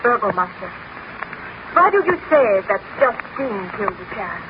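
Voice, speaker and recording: An actress playing a grief-stricken, gentle baroness in genteel, formal 1930s radio drama style. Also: female voice, a little high, very animated, normal speed. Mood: anxious. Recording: noisy, dull 1930s radio recording.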